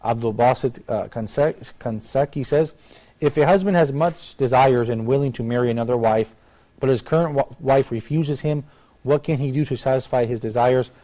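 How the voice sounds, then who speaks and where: A man's voice: mid-range voice, moderate pace, mellow. An American man hosting a talk show in a casual, conversational style. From United States. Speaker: live talk show host.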